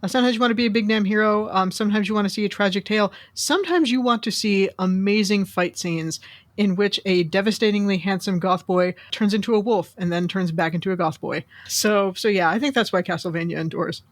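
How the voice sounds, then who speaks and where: A woman's voice: medium pitch, fairly quick, no room sound. A woman speaking earnestly and with some drama in a podcast discussion. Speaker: podcast co-host.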